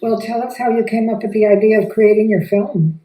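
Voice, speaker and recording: Lady interviewer, really dark soft-edged tone, encouraging, far from mic in echoey room. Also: mid-range voice, fairly even intonation, fairly quick. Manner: encouraging, earnest. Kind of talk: interview questions and encouraging commentary.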